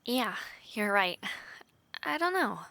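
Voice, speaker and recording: A woman acts out a playful young woman training to be a soldier, in a fantasy audio drama. She talks in an easy, teasing, conversational way with pauses and sighs. The sound is intimate and dry, with no room around it. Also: fairly high pitch, very animated, vocal fry, bright, forceful tone, no echo, exaggerated voice. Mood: thoughtful, earnest. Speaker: voice actor in audio roleplay. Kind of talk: intimate audio roleplay acting.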